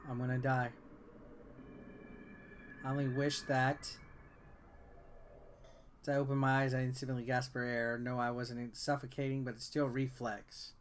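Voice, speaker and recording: A man's voice: medium pitch, monotone, measured pace, long pauses, a little hiss or hum. A man reading visual novel text aloud as a game player, with offhand, wry asides. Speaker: video game reviewer and let's player. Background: game music.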